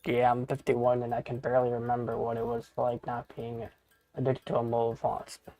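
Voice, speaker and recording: A man's voice: mid-range voice, completely flat delivery, brisk, mellow, soft background music. Casual rambling man in his thirties streaming. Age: 30s. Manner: rambling, casual, swears, talks to chat. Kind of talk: casual live-stream chat while gaming.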